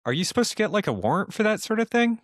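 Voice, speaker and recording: Man playing a hesitant campus security guy, higher-pitched. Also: sing-song, rapid-fire, dry recording, studio quality. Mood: unsure, obliging. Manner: hesitant, accommodating, questioning. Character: casual, unsure male campus security phone operator.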